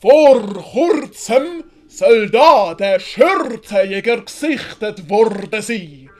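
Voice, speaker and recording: Woman as a puffed-up bossy captain, drags his words out slow, swiss german accent, bit of music behind. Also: fairly high pitch, animated, a little mellow. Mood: pompous. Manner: pompous, drawn-out, commanding. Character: pompous, boastful, bossy male Spanish military captain. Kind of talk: comic commedia dell'arte audio play.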